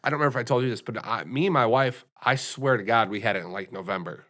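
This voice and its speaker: A man's voice: medium pitch, fast talker, sharp tone. An American man co-hosting a podcast, chatting casually and rambling with many restarts and fillers. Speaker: podcast co-host. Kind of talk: casual chat.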